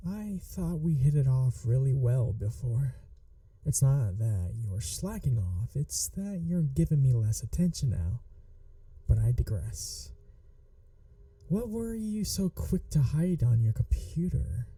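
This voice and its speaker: A woman acts out a flirty, adorkable female manager in an ASMR roleplay. Her voice is very soft and dark, teasing and fond, with unhurried phrasing that rises and dips expressively. The sound is dry and intimate. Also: a bass voice, very animated, no echo, distant mic, heavily acted. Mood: mock scolding. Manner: soft, coaxing, playfully teasing, slipping into whispers. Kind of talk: ASMR roleplay, acted monologue to listener.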